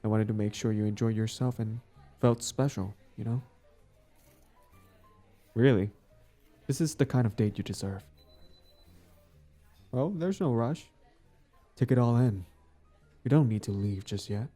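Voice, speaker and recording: A man acting out a smug but smitten villain on a date, smooth-talking and casual, in an intimate audio roleplay. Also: mid-range voice, unhurried, long pauses, dark tone, faint music behind. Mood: tender, sincere. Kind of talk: intimate scripted boyfriend-style audio roleplay.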